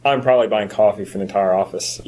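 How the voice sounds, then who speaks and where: A man's voice: on the low side, quick pace, darkish tone. A man chatting casually as a podcast co-host. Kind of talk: casual chat.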